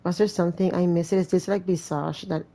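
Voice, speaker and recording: A woman's voice: fairly low pitch, steady tone, fairly quick, warm tone. A woman doing casual gameplay commentary, reading a story aloud with chatty, joking asides. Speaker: gamer playing and reading aloud a horror visual novel.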